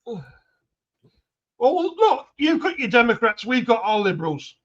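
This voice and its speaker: Older man, dry and blunt, high-pitched voice, dull lo-fi line. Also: very animated, slow pace, a bit bright. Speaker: panel guest. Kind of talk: casual panel chat.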